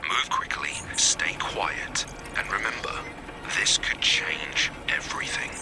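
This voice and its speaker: A man playing a serious, guarded resistance commander, giving measured military briefings as scripted video game dialogue. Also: extremely low pitch, animated, whispered, full character voice. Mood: urgent, resolute. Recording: game audio with loud background music.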